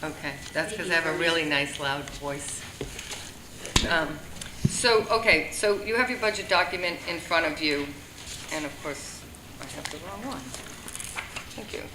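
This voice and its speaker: A woman's voice: deep voice, expressive, normal speed. A woman walking a committee through a budget at a public meeting, chatty and correcting herself with fillers. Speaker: school budget administrator. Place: meeting room. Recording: echoey room, background noise.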